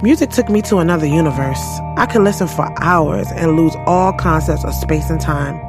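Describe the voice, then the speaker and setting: A woman's voice: sits low, moderate pace, over music. A woman playing a sassy young writer, telling her life story frankly and conversationally in an audio drama, with some cursing. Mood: reflective. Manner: frank, profane, confiding.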